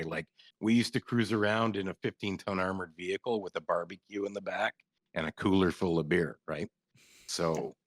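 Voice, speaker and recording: A man's voice: low-pitched, no echo. A man from Canada, a former soldier, telling stories on a podcast in a laid-back way, laughing often and swearing casually.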